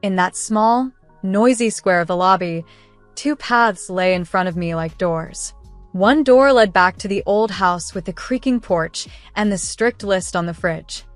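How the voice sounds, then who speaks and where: A woman narrates a scripted story in the first person. Her delivery is earnest and measured, with a literary storytelling manner and a middle-range pitch. The sound is close and dry, with soft music behind her. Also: no room sound.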